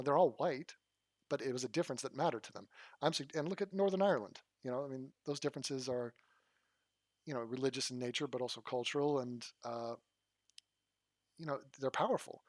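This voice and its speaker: A man's voice: mid-range voice, darkish tone, no echo. Male channel host, casual and blunt, mid voice, dry close sound. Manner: blunt, rambling, swears freely. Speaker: channel host reacting to a clip. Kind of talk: casual commentary.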